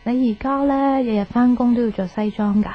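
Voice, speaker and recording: A woman's voice: a little high, fairly even intonation, a little fast, natural voice. An actress with a Hong Kong Cantonese accent playing a young student girlfriend back from abroad, conversational, in a radio drama. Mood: curious, playful. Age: young adult. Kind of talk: naturalistic radio drama narration and dialogue. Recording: slightly dull radio recording, faint guitar music.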